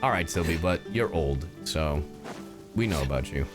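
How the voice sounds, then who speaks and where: A man's voice: very deep voice, animated, moderate pace. A man livestreaming a game with casual, joking, sweary commentary, reacting as he plays. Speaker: streamer and professional voice actor. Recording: faint game music behind. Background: game music.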